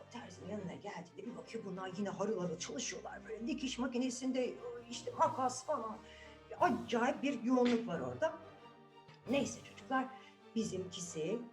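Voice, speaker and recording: A woman telling a fairy tale to children in an animated, conversational way, often addressing them directly. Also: medium pitch. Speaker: children's storyteller.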